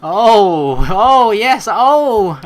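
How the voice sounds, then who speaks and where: A woman's voice: mid-range voice, very animated, very slow. A woman hosting a let's play, switching between reading game narration aloud and playful, teasing commentary.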